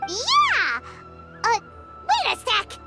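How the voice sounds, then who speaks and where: A woman voicing a small, childlike floating companion in a video game, with a squeaky, excitable, fretful delivery. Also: extremely slow, exaggerated voice. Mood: excited, then flustered protest. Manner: excitable, folksy turns of phrase. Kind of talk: video game character acting. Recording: music playing behind the voice.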